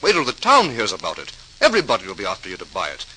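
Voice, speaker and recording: Hearty admiring brother in old radio play, swoopy lively pitch, super bright, bit of hiss. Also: male voice, rapid-fire, exaggerated voice. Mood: encouraging, delighted. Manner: hearty, emphatic. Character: Thomas, hearty, admiring, practical brother of the potter. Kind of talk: old-time radio drama acting. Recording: noisy 1940s radio transcription recording.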